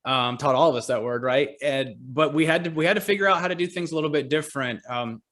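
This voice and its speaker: Relaxed Southern man in his forties. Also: fairly high pitch, fast. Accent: Southern American. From Georgia, US. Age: 40s. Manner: relaxed, chatty, self-deprecating humor. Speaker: real estate agent and team leader. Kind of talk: casual interview on a call. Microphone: video call.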